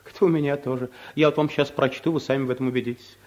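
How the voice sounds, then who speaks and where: An actor in a radio comedy playing a shy, awkward office clerk who turns stubbornly bold, with a hesitant, rambling, clumsily persistent way of talking. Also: male voice, mid-range voice, fairly even intonation, quick pace, darkish tone, close to an everyday voice. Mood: nervous, awkward. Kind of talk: radio play comedy acting.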